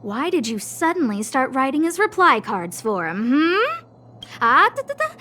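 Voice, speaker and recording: A woman's voice: high-pitched, very animated, moderate pace, sharp tone, heavily acted. A woman playing an earnest, dramatic young noblewoman boss in an anime-style video game, with emphatic, theatrical delivery. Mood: smug, insistent.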